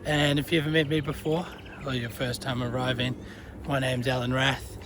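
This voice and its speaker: A man's voice: mid-range voice, normal speed, slight background noise. A young man with a New Zealand accent, chatting casually in a vlog while walking his dog by a creek. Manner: chatty, relaxed, uses Kiwi slang. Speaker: vlogger. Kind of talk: casual walk-and-talk vlog.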